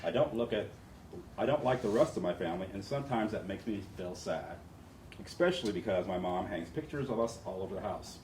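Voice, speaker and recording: A man's voice: fairly low pitch, animated, a little fast, a little mellow. A man taking part in a training, reading a first-person character profile aloud to the group. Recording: roomy, distant mic, faint noise.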